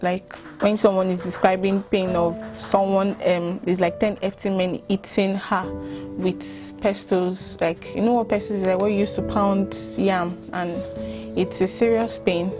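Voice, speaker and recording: A woman's voice: mid-range voice, fairly even intonation, unhurried. A woman with a Nigerian accent, reading accounts aloud and responding to them informally. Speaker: volunteer reader reacting to written accounts. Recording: music bed under the voice. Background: music.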